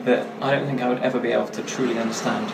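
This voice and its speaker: A young man speaks thoughtfully and articulately. His voice is mid-pitched. The room echoes and loud noise sits behind him. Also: level tone, racing, creaky, recorded from a distance. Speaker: event participant.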